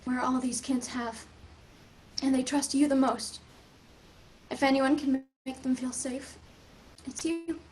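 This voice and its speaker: A woman's voice: high-pitched, steady tone, slow, pauses often, a little mellow, a little hiss or hum, low-quality recording. A woman playing an earnest older girl who leads stranded children, in a table read of a science fiction script. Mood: firm, pleading.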